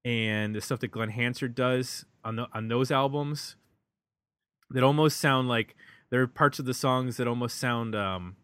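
A man with an American accent casually discussing an album as a podcast host, halting and reflective as he thinks aloud. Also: mid-range voice, a bit bright, smooth, no echo. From United States.

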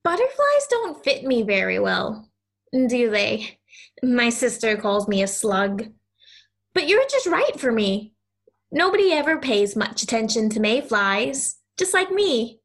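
A woman's voice: fairly high pitch, very animated, measured pace, heavily acted. A woman playing a lonely, stubborn ten-year-old girl in an audio play, speaking in an earnest, insistent, childlike way. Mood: sulky, lonely.

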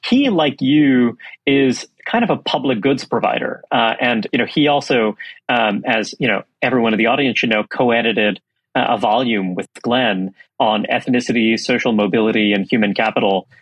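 Husky-voiced man, halting and thoughtful. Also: medium pitch, no echo, dull audio. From Brooklyn, New York. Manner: hesitant, many fillers and restarts. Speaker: think tank president. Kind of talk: conversational interview.

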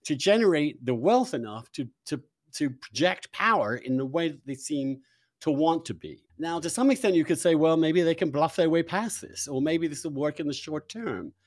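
Animated, emphatic male interviewer. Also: a little high, normal speed, dry recording, clean studio sound. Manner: opinionated, emphatic. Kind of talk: long conversational questions with commentary.

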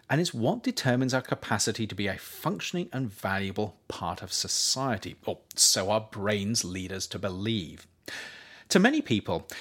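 A man reading his own nonfiction essay as scripted narration, in measured phrases with emphatic stresses. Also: mid-range voice, fairly bright tone. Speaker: author reading their own book.

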